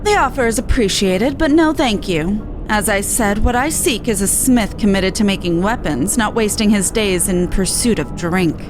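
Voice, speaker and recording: A woman's voice: mid-range voice, normal speed, few pauses, over music, lightly acted. A woman voicing an earnest, polite young male scholar in a game, speaking formally and precisely. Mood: stern.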